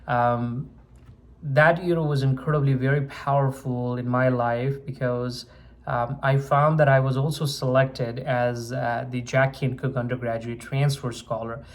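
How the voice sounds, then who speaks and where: An earnest young man from Nepal speaks to his channel about his own path, at a middle pitch. He talks steadily, pausing on fillers and restarting his sentences. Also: fairly even intonation. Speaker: medical student vlogger. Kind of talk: personal story told to camera.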